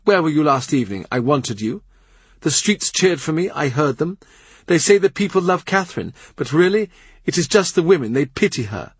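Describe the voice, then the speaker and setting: Man doing a haughty young queen, imperious, petulant, raised pitch, storybook reading. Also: animated, normal speed, husky, no echo. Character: haughty pregnant young queen, sharp-tongued.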